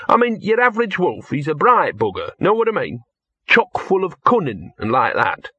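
A man's voice: on the high side, huge pitch swings, normal speed, pushed and bright, breathy edge, dry recording, voice pushed up, exaggerated voice. A man voicing a scruffy, cynical male talking dog who grumbles in a world-weary way, on a dull lo-fi tape. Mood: grumbling.

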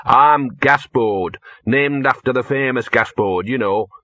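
A man voicing a scruffy, streetwise male mongrel dog who mutters gruffly out of the side of his mouth. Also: medium pitch, unhurried, fairly bright tone, no echo, muffled recording.

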